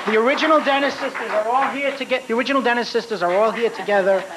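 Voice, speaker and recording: A convention emcee, a man thanking the audience and introducing guests, with plenty of pauses and fillers. His voice is notably high in pitch. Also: a crowd in the background, poor audio. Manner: halting, filler-heavy. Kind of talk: stage announcements. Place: hotel ballroom.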